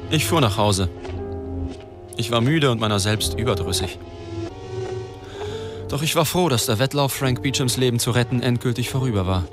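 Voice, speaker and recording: An actor in an audio drama playing an earnest, dogged, world-weary newspaper reporter who is direct but slightly hesitant. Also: male voice, medium pitch, lively intonation, moderate pace, pauses often, over music, natural voice. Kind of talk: German audio drama acting and narration.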